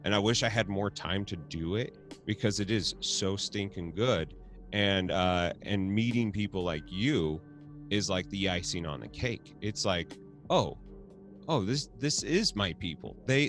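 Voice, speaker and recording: A man's voice: lowish voice, animated, measured pace, faint music behind. A man hosting a casual video chat, laid-back and laughing often, swearing now and then. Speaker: host.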